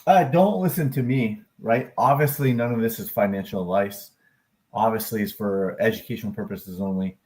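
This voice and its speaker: A man's voice: on the low side, normal speed, mellow. A man hosting a livestream about crypto and stock charts, talking casually in a rambling, chatty, self-deprecating way and answering his chat.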